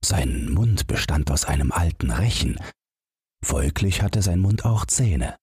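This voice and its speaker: A man's voice: very deep voice, sing-song, measured pace, a little mellow, slightly rough, no room sound, clean studio sound. A man narrating a fairy tale as a bedtime audiobook reader.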